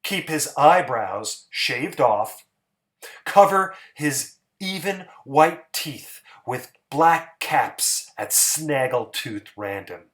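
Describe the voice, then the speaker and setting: A man giving a dramatic story narration, theatrical and emphatic, with pauses for effect. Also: mid-range voice, expressive, a bit bright.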